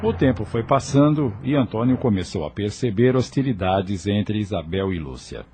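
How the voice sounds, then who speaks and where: Expressive Brazilian male narrator, lowish voice. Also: normal speed, soft background music. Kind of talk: scripted novel narration.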